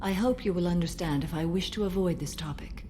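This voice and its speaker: Woman as a low, mellow, ancient alien warrior. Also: a little fast, slight background noise, close to an everyday voice. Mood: serene, solemn. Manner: formal, composed, solemn. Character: serene, ancient female alien warrior bound by code. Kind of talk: video game dialogue.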